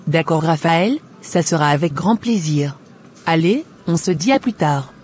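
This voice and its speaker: A woman's voice: low voice, expressive, measured pace, darkish tone, slight background noise. A woman voicing a polite, cheerful young woman, an obedient daughter, in a scripted cartoon dub. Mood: pleased, polite.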